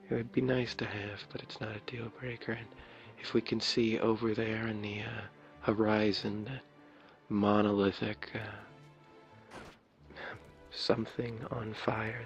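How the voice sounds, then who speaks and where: Low, gravelly man doing ASMR game commentary. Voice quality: low raspy voice. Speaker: video creator showing his game builds. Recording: dull lo-fi mic, clear background music. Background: game music.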